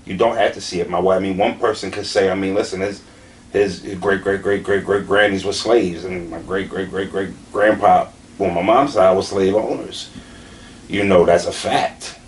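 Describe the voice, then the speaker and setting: A young man with a Philadelphia accent speaks in a fairly low voice. He talks candidly and emphatically, circling back on his phrases with plenty of slang and cursing. The mic sits at a distance, with faint noise behind him. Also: fairly even intonation, moderate pace. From Southeastern Pennsylvania, USA. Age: late 20s. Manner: emphatic, repetitive, peppered with slang and swearing. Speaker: battle rapper being interviewed. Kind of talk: candid conversational interview.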